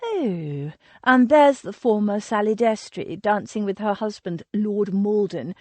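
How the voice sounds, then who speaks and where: A catty, gossiping young lady of Regency society, high and affected, swooping through her words, as a woman narrator puts her on. Dry, close recording. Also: a little mellow, no echo.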